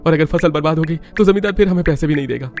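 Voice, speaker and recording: A man plays a poor, earnest village husband in a cartoon story. His voice sits a little high for a man and moves quickly, sounding worried and plain-spoken. Soft music runs underneath. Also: close to an everyday voice. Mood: worried, reassuring. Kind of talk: Hindi cartoon story voice acting.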